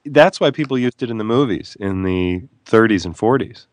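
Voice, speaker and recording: A man's voice: on the low side, expressive, moderate pace, no room sound. A man who is an author, talking as a guest in a casual radio interview, playful and wry, wandering off on tangents.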